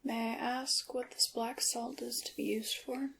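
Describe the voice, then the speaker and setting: Woman acting as a mysterious witch shopkeeper, fairly high voice, hushed whispers, formal and unhurried, ASMR roleplay, noticeable noise. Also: flat delivery, creaky. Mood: attentive, instructive. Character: soft-spoken mysterious witch shopkeeper, polite and secretive.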